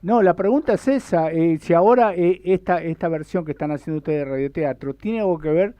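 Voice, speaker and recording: An Argentine man in his seventies talks on the radio with a soft, dark tone and a slightly high pitch. He rambles casually and hesitates as he searches for words. The sound is very dry. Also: fairly quick, dry recording. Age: 70s. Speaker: radio interviewer. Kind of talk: casual, reminiscing radio chat.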